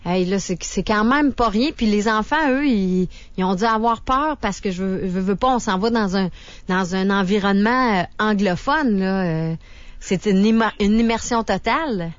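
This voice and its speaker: A woman's voice: medium pitch, moderate pace, dry recording. Chatty radio co-host woman. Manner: chatty, expressive. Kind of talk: casual radio interview. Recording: dry, muffled radio studio.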